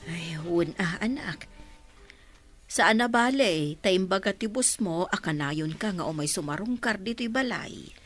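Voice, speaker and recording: Woman acting as kindly rural mother, animated voice. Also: fairly low pitch, unhurried, faint music behind. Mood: fond, warm. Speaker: voice actor. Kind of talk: radio-style drama acting.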